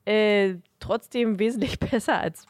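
A woman with a mid-pitched voice chats casually as a podcast co-host. She takes her time, speaking slowly and informally, in a very dry recording. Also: dry recording. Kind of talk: casual chat, ranking films.

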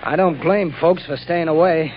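A man plays a grumbling beat cop from old radio drama. He is uneasy and fairly high in pitch, in dull, muffled sound. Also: normal speed, close to an everyday voice. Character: grumbling, jittery city policeman on watch. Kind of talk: 1930s radio acting.

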